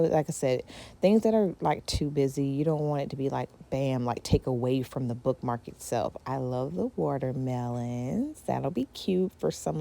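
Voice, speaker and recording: A woman who runs a small craft business, talking through a design tutorial in a relaxed, chatty way with lots of filler words. She sounds congested from a cold. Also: a bass voice, creaky. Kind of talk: casual screen-recorded how-to walkthrough.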